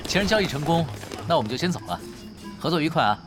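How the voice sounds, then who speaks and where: An actor playing a cool, sardonic younger pro gamer in a Chinese audio drama, with a dry, teasing manner. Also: male voice, fairly high pitch, big pitch swings, fast, over music. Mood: composed.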